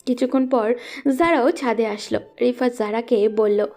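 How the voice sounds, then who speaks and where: A woman's voice: on the high side, normal speed, warm tone, faint music behind. A woman reading scripted narration for a romantic story as its narrator.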